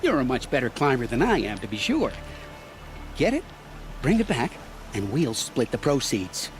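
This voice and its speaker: Man playing sly male game trader, middle-aged, swooping theatrical pitch, ingratiating patter, music underneath. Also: very animated, moderate pace, close to an everyday voice. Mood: slyly ingratiating. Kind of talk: video game dialogue acting.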